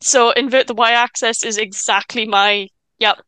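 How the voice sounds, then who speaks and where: Bright Welsh woman with a stutter. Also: fairly high pitch, fairly even intonation, clean tone, no echo. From Wales. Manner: stammers and restarts, stutter; chatty, quick asides and laughs. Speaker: podcast guest. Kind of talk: casual chat.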